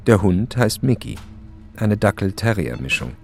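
A man's voice: fairly low pitch, big pitch swings, normal speed, creaky, darkish tone, soft background music. A man narrating a radio play in terse, matter-of-fact fragments.

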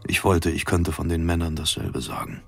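An actor playing a polar expedition captain, dictating a grim, callous logbook in a measured, matter-of-fact way. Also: male voice, low voice, vocal fry, a little hiss or hum. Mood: sober, grim. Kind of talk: radio drama journal monologue.

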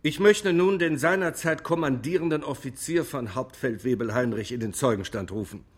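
An actor playing a formal male examiner in a radio drama courtroom, putting measured, probing questions to witnesses. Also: medium pitch, husky, natural voice.

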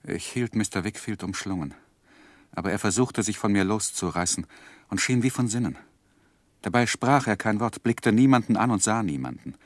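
Deep grave guy telling his life story, old radio drama, very dry sound. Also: lively intonation, no room sound. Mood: anguished recollection. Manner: grave, measured, literary. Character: earnest gentleman narrating his own life story. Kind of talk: 1960s radio drama narration.

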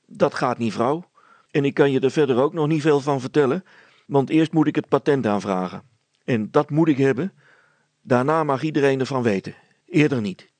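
A man voicing a proud, earnest village blacksmith and father in a storybook, gruff and emphatic. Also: mid-range voice, moderate pace, darkish tone, dry recording, close to an everyday voice. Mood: solemn, stubborn.

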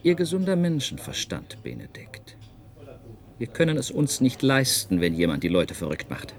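An actor playing an evasive, patronizing official in a radio play, with a brusque, dismissive manner. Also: male voice, medium pitch, wildly swooping pitch, normal speed. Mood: veiled threat. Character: evasive male forestry official, dismissive, patronizing, quietly threatening. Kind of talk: German radio play acting.

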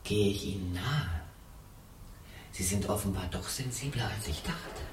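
A woman's voice: rumbling low, animated, measured pace. An actress playing a condemned woman spy in a radio drama, flirtatious and drily ironic, with proud composure. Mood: ironic, teasing. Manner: theatrical, teasing, drily ironic. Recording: roomy, distant, faintly noisy radio play.

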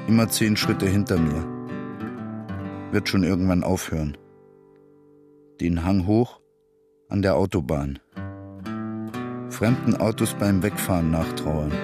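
Tired fed up working guy, radio play, lowish voice, short terse lines, music under it. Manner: terse, clipped phrases. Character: weary, defiant working-class drifter, fed up. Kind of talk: naturalistic radio play acting with terse inner narration.

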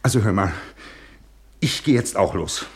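A man's voice: fairly low pitch, lively intonation, a little slow, a little hiss or hum. An actor playing a tense, impatient father who tries to stay reasonable, in clipped phrases in a German radio play.

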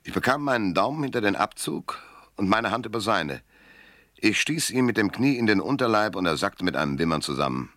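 A man's voice: lowish voice, big pitch swings, brisk, a bit bright, roomy sound. An actor playing a sardonic, self-assured private detective in a German radio crime drama, narrating his case and talking in a dry, mocking way. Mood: dry, matter-of-fact.

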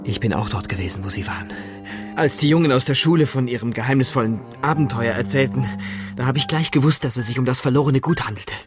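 Actor as a feverish young bohemian vagabond, music behind. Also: male voice, mid-range voice, fast, lightly acted. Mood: urgent, confiding. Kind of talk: radio drama acting.

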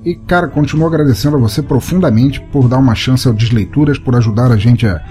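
Mellow Brazilian man narrating over music. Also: medium pitch, fast. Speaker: podcast host and narrator. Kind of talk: scripted story narration and reading listener comments. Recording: music bed under the voice. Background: background music.

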